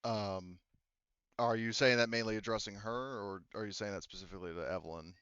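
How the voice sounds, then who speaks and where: A man running a tabletop roleplaying game as game master, narrating casually in a halting, conversational way. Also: fairly low pitch, fairly even intonation, a bit bright, clean tone, dry recording, highs cut off.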